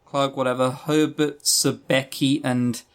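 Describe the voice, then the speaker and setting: A man's voice: mid-range voice, level tone, measured pace, clean tone. Man in casual, sweary podcast chat. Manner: casually sweary, wry, self-deprecating. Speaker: podcast host reviewing visual novels. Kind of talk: casual rambling review talk.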